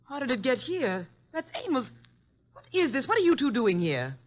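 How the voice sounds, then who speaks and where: A woman's voice: sits high, sing-song, normal speed. Indignant woman suspect, very up and down voice, muffled old radio drama. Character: tart, indignant, defensive woman under suspicion. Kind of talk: old-time radio detective drama acting. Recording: dull lo-fi old radio recording.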